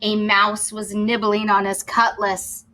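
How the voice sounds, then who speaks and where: Bright, unhurried woman reading to young children. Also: mid-range voice, completely flat delivery. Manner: explains to young listeners as she reads. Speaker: teacher reading a picture book aloud. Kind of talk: expressive children's story read-aloud with asides.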